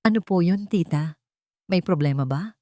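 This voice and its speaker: A woman's voice: on the low side, moderate pace, mellow, no room sound, close to an everyday voice. A hurt, anxious young woman acted by a woman narrator speaks hesitantly and politely in an audio drama. Her voice sits in a dry, close recording. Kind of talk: dramatic audio story dialogue.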